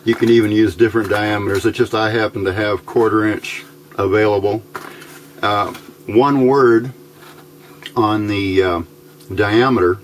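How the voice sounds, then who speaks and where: A middle-aged man giving a casual hands-on tutorial, speaking in an unhurried, halting way as he thinks aloud. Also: on the low side, slight background noise. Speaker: electronics hobbyist host. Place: indoor workbench, hands filmed from overhead.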